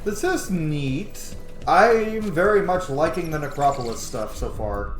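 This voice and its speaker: A man giving excitable, casual gameplay commentary, blurting exclamations and swearing as he talks to himself and his viewers. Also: fairly high pitch, measured pace. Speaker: gaming video host. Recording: roomy echo, game music behind. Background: video game music.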